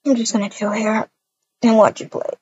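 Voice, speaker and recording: A woman with a husky voice improvising a family roleplay in a halting, repetitive way, full of cooing endearments. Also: fairly high pitch, level tone, darkish tone, a little hiss or hum. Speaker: roleplay video creator voicing all characters. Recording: dull, lo-fi microphone sound.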